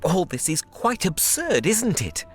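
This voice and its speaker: Scholarly, sceptical translator, lively pitch. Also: male voice, a little slow, slightly rough, faint music behind, heavily acted. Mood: sceptical storytelling. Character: scholarly, sceptical male translator of ancient books. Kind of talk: video game voice acting.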